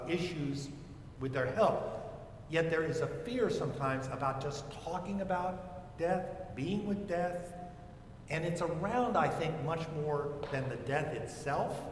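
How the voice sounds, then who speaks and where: An older man speaking reflectively as a psychotherapist on a discussion panel. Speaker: panelist, psychotherapist and former chaplain. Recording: echoey hall, rumbling background noise.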